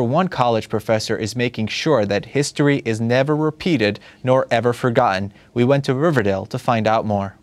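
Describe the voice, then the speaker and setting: A man's voice: mid-range voice, dry recording. A man anchoring a newscast, reading from a script. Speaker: news anchor.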